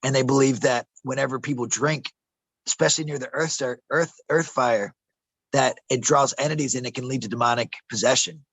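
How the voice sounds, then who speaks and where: A man's voice: mid-range voice, fairly quick, a bit bright, dry recording. A man telling rambling, conspiratorial stories in a casual interview, calling the listener bro and man and stumbling over some words. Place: outdoors in a desert camp.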